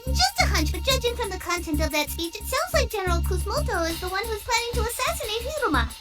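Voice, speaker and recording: A woman's voice: extremely high pitch, sing-song, quick pace, over loud music. A woman voicing a childlike, chatty, whiny little robot tank in an anime-style game, cute and fussy. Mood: chirpy, musing.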